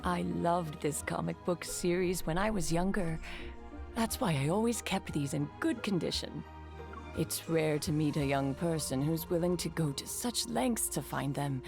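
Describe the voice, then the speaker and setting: Kindly older female game character, wistful, gravelly edge, music clearly behind. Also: low-pitched, unhurried. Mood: warm, nostalgic. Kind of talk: scripted game voice acting. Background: clear background music.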